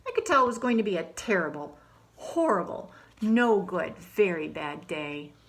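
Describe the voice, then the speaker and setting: A woman's voice: on the low side, expressive, normal speed. A woman reading the lines of a grumpy young boy from a picture book, complaining and emphatic. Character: grumpy young boy having a bad day. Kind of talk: picture book read aloud.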